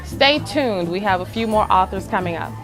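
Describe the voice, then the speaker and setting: A woman's voice: medium pitch, lively intonation, moderate pace, loud music behind the voice, low-quality recording. A woman hosting a TV interview, encouraging, often repeating and rephrasing her questions. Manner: encouraging, repeats questions, frequent "really?" and "wow". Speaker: TV interview host. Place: book fair.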